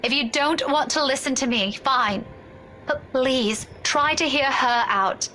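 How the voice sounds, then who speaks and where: A woman's voice: high-pitched, unhurried, sharp tone, slight background noise. An actress playing a level-headed young woman in a game, firm and earnest, defending herself.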